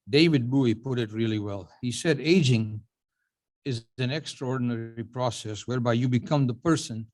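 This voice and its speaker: An older man in his seventies, originally from Uganda, delivers a prepared acceptance speech. He tells his life story at a measured pace, with dry, self-mocking humor. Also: mid-range voice. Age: 70s. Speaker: award honoree giving a speech.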